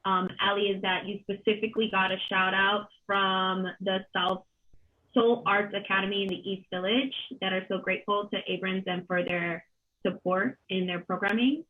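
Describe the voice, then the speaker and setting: Female panel moderator, thirties, from Colombia; thoughtful, halting delivery; narrow, lo-fi video-call audio. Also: medium pitch, steady tone. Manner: halting, thoughtful, with many pauses and repeated words. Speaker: panel moderator, arts organization director. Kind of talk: reflective, unscripted panel remarks. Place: home kitchen, on a video call. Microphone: computer mic on a video call. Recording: dull, band-limited video call audio.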